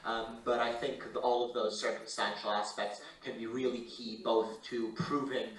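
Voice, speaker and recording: A man speaking as a legal panelist, giving careful, measured and formal explanations with halting stretches. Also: medium pitch, little pitch movement. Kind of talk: explanatory panel remarks on international law. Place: indoor conference room. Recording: roomy echoing hall, distant mic.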